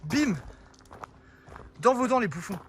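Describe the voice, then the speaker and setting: A man's voice: very high-pitched, lively intonation, slow pace, a bit bright, a little hiss or hum. A young man streaming live outdoors, chatting and joking with his viewers, a bit out of breath. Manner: joking, teasing, talks to chat. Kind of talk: casual IRL stream chat. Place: outdoors in a town street.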